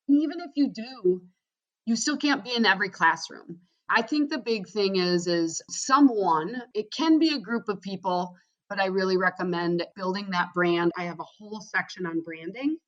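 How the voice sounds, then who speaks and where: A woman's voice: lowish voice, fairly bright tone, highs cut off. A woman with a Wisconsin accent, a guest on a podcast interview, talking energetically and enthusiastically and tagging her points with "right?". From Wisconsin, USA. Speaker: guest, school social media trainer.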